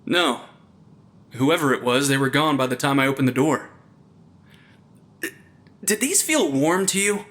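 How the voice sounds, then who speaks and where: A man's voice: medium pitch, lively intonation, measured pace, pauses often, a bit bright. A young man playing a wry, nerdy, jittery investigator in a supernatural comedy audio drama, with dry, quippy banter. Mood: uneasy, wry. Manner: dry, quippy, slightly pompous.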